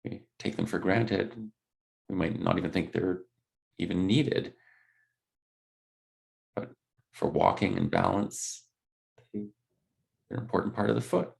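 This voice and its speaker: A man leading a guided body scan meditation, speaking gently in unscripted, hesitant phrases. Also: deep voice, a little slow, creaky, pauses often.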